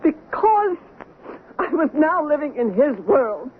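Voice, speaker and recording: A troubled stage wife with a notably high voice, theatrical and halting, weighed down by guilt. It is 1970s radio drama, heard over a tinny, narrow band with slight noise. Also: female voice, very animated, full character voice. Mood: anguished.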